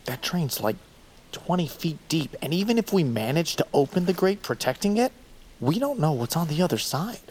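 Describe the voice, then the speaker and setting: A man's voice: on the high side, very animated, normal speed, darkish tone. A man acting in an audio drama as a brave, stubborn volunteer, speaking informally and naturalistically. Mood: skeptical, resigned. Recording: music bed behind. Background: music.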